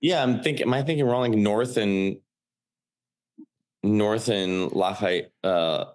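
A man's voice: on the low side, a little slow, darkish tone. A man in his late thirties from Chicago with a Chicago accent, a comedian chatting casually and rambling with lots of fillers. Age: 30s. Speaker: stand-up comedian guest. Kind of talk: casual podcast chat.

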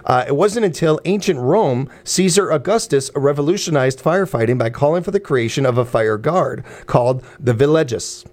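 A man's voice: on the high side, animated, normal speed, few pauses, darkish tone. Impassioned male radio morning host. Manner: impassioned, ranting, with many restarts and fillers. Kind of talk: opinionated radio talk. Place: radio studio.

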